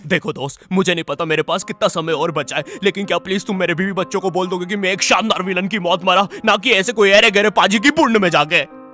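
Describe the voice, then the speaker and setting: A man's voice: sits high, quick pace, pushed and bright, faint music behind. A man voicing a dying cartoon toy villain, pleading in an urgent, self-important way. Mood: melodramatic, resigned.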